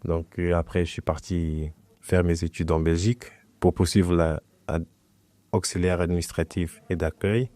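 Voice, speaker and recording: A young man from Portugal whose first language is Portuguese, talking as a guest in a relaxed, hesitant way and often restarting his phrases. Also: low-pitched, moderate pace, no room sound. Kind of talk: casual conversation.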